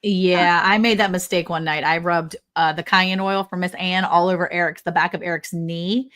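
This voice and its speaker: A woman co-hosting a livestream, chatting casually and telling animated personal stories in which she quotes other people. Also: fairly low pitch, moderate pace. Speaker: livestream co-host. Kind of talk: casual chat with anecdotes.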